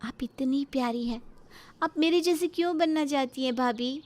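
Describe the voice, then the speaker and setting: Woman acting a cheerful high-voiced young sister-in-law. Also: normal speed, darkish tone, natural voice. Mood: teasing, affectionate. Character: skinny young sister-in-law, cheerful, candid, teasing, affectionate. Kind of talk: moral story dialogue.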